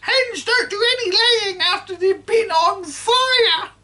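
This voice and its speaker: A woman voicing a nasty old grandmother who shrieks and cackles in a shrill, screeching voice. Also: takes it slowly, sharp tone, heavily acted. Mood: cackling shrieking. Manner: gleeful, mocking.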